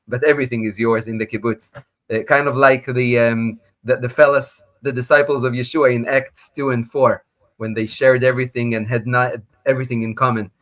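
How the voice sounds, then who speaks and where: An Israeli-accented man, a native Hebrew speaker, tells his life story as a guest over a video call. He is animated, with small slips in his English, and his voice sits at a middle pitch. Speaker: guest scholar. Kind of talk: personal testimony in interview.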